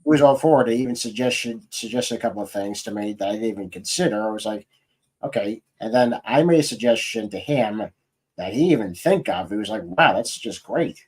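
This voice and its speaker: A man's voice: fairly low pitch, lively intonation, brisk, darkish tone, husky, rough recording. A man hosting a podcast and chatting casually with his guest as a fellow scriptwriter. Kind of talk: casual interview chat.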